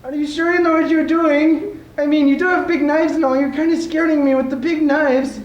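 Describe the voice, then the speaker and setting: A woman's voice: high voice, fairly even intonation, brisk, far from the mic, dull audio, lightly acted. A woman playing a timid little Irish leprechaun man in a stage comedy sketch, hesitant, polite and stammering. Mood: worried, hesitant. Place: live stage before an audience. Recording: echoey stage with background noise.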